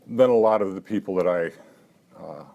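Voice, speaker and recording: A man in his seventies at a live poetry reading, talking haltingly between poems with dry, self-mocking asides and lifting his voice for the verse. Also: low voice, gravelly, warm tone, muffled recording. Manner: halting talk with many "uh" fillers. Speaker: poet.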